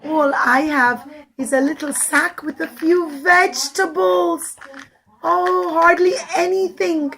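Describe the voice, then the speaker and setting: A humble, devoted poor man from a children's story, as a woman storyteller puts him on. He speaks earnestly and gently, in a raised, lilting voice. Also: very high-pitched, steady tone, slow, gravelly, voice pushed up, full character voice.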